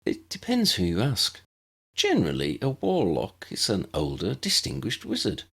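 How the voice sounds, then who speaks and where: Audiobook schoolgirl witch, played by a man: raised pitch, lively swings, chatty, helpful, reassuring. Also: normal speed, breathy edge, heavily acted. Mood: helpful, friendly. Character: young schoolgirl witch, friendly, helpful guide. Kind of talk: audiobook character voice.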